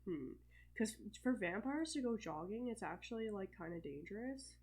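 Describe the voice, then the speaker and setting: A woman's voice: mid-range voice, expressive, normal speed, breathy edge. Chatty young woman with a stuffy cold. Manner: chatty, thinking aloud with fillers and soft laughs. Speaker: let's play host. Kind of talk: casual gameplay commentary.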